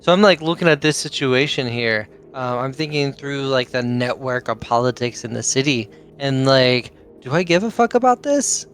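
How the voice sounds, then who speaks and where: A man's voice: medium pitch, lively intonation, moderate pace, hardly any pauses, a bit bright, slight background noise. A man playing a tabletop game, thinking aloud casually and often saying "like". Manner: informal, peppers speech with "like". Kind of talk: casual chat, questioning the game master.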